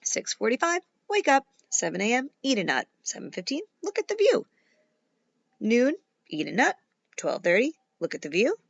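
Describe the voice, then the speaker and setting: Female storybook reader, teacher style: swooping, theatrical delivery with deliberate pauses, dry and slightly muffled recording. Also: fairly high pitch, wildly swooping pitch, vocal fry, no room sound. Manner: dramatic, playful, with emphatic pauses and whispers. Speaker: teacher reading a children's picture book aloud. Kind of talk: expressive children's storybook read-aloud.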